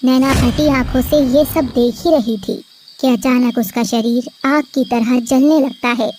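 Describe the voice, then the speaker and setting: A woman narrating an animated story in a steady storytelling cadence. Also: high-pitched, measured pace, noisy background.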